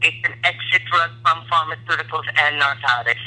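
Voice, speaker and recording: A woman's voice: low-pitched, brisk, heavy background noise. Woman doctor calling in. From India. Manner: earnest, explanatory. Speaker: physician guest. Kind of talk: radio call-in interview. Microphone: phone line.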